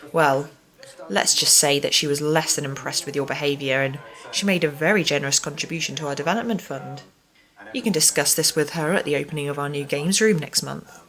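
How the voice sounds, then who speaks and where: An actress playing a prim, stern care home manager in a radio play, formal and reproving. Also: female voice, sits low, a little fast, fairly bright tone, low-quality recording. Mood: smug, stern.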